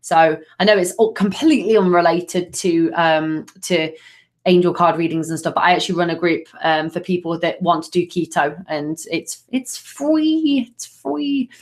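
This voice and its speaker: A middle-aged woman from Nottingham, England, giving a live angel card reading in a gentle, soothing, affectionate way, with lots of fillers and endearments. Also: fairly low pitch, normal speed.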